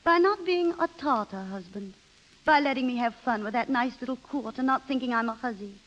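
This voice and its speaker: A woman's voice: fairly high pitch, fairly quick, a bit bright, natural voice. An actress playing a restless, vain married woman in a theatrical, coaxing 1930s radio style. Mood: coaxing, gushing. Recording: dull 1930s radio broadcast recording.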